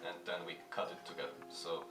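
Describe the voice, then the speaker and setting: A shy man answering fan questions in a halting, casual way, with many fillers and restarts. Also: sits low, monotone. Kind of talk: hesitant casual talk to camera. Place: at home. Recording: echoey room, mic at a distance, music playing. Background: music.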